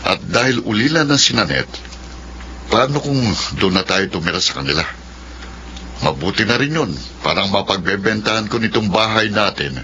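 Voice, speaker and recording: An actor playing a bossy, scheming middle-aged father deep in gambling debts, in a radio drama. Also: lively intonation, moderate pace, pushed and bright, a little hiss or hum.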